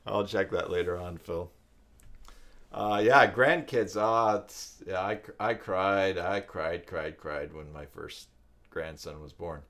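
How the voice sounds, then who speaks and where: Middle-aged male live stream host, lowish voice, casual, rambling, thinks out loud. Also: slow. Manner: rambling, thinking aloud, repeats himself. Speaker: live stream host, web tutorial maker.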